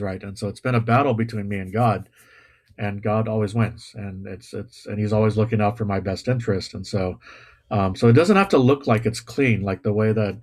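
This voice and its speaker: Low-voiced man preaching casually. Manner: casual, self-deprecating preaching with pop-culture asides. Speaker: pastor. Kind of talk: sermon. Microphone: video call or livestream.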